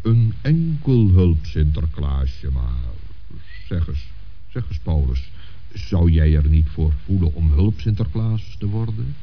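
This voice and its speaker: A very deep-voiced old Saint Nicholas figure from a children's radio play, on a dull old recording. He is stately, kindly and patient, reassuring in a measured way. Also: animated, slightly rough, full character voice. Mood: thoughtful, kindly.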